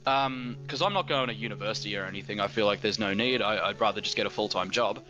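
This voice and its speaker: A man's voice: fairly low pitch, quick pace, bright, forceful tone, highs cut off. An eighteen-year-old Australian man chatting casually as a guest, rambling with lots of fillers and restarts. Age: teens. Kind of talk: casual chat.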